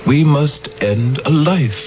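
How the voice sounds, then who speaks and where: An actor playing a calm, cold male alien elder who speaks in a measured way in a radio drama. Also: a little high, lo-fi sound, faint music behind. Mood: grave.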